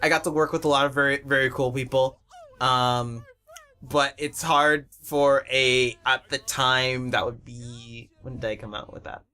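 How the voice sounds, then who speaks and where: A man's voice: medium pitch, steady tone, unhurried, bright, forceful tone, clear, resonant tone. A young man chatting casually on a commentary track as a series creator, often laughing through his words.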